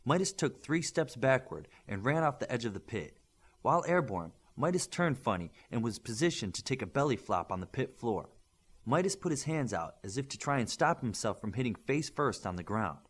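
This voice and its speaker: A man's voice: mid-range voice, very animated, normal speed, slightly rough. A man reading a scripted adventure story aloud as an audiobook narrator.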